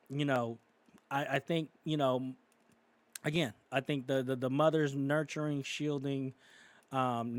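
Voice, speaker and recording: A man from California talks through his views on a podcast in a loose, conversational way. He circles around his points with fillers like "you know" and "um", restarting sentences as he goes, at a middling pitch. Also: no echo. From California, United States. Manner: rambling, many fillers and restarts. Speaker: podcast co-host. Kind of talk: casual opinionated discussion.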